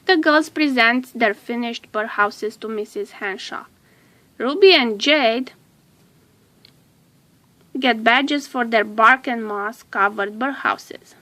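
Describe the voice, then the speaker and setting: A woman narrating a children's storybook aloud in a deliberate way, drawing out some words. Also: on the high side, bright, forceful tone.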